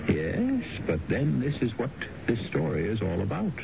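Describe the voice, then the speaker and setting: A man hosting a radio drama, narrating in a deliberate, theatrical scripted style. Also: medium pitch, huge pitch swings, vocal fry, heavy background noise. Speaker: host and narrator. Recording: dull lo-fi radio, loud music behind.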